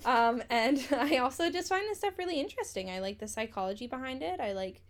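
Young woman podcast co-host, slightly high voice, casual, laughs a lot. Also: expressive, fairly quick. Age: 20s. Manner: chatty, laughs often, swears casually. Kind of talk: casual chat.

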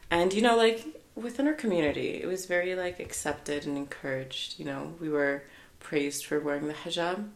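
A young woman from Canada chatting as a podcast guest, her voice low and gravelly with a chatty, filler-heavy manner. She laughs easily. The room around her rings with echo. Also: normal speed, few pauses. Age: 20s. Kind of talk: casual podcast chat.

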